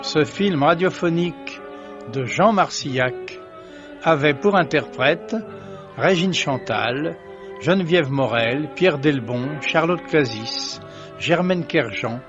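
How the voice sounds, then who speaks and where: A man announces radio credits in a formal, scripted vintage style, slowly and with a fairly high voice. Music plays clearly behind him on a dull old recording. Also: lively intonation. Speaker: announcer reading end credits.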